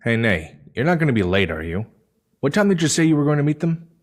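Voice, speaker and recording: A man's voice: medium pitch, sing-song, fast, lightly acted. An actor playing a bashful, cautious male colleague in a sci-fi audio drama. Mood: embarrassed.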